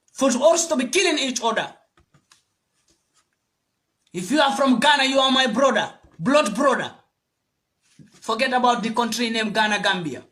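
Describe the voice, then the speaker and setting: A man's voice: extremely high pitch, fairly bright tone. A young man with a West African accent giving an impassioned, emphatic and repetitive political monologue, exhorting his listeners. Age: young adult. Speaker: political activist addressing African youth.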